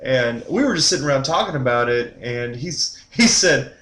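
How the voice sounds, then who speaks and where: A man's voice: medium pitch, expressive, normal speed, a bit bright, a little hiss or hum. Laid-back Texan man, echoey room. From Texas. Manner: laid-back, joking, tells anecdotes with quoted dialogue. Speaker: gaming podcast co-host. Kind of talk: casual chat.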